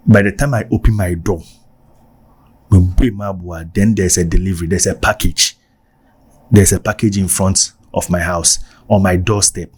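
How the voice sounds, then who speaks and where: A Ghanaian man with a Ghanaian accent, casually telling personal stories for his vlog, animated and repeating himself for emphasis. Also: deep voice, unhurried, husky. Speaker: vlogger.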